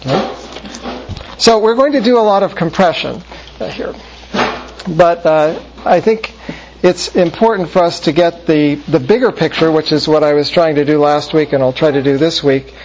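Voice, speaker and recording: A man teaching a Bible class, reading scripture in a measured way and then lecturing with many fillers and restarts. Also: a little high, a little mellow, highs cut off, a little hiss or hum.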